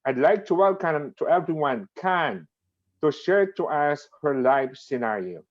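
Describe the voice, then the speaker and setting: A man hosts an online meeting in a coaxing, motivational tone, often checking in with his listeners. His voice is somewhat high for a man, and the call audio sounds dull and lo-fi. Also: lively intonation, measured pace. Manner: coaxing, checks in with "okay?" and "right?". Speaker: meeting host.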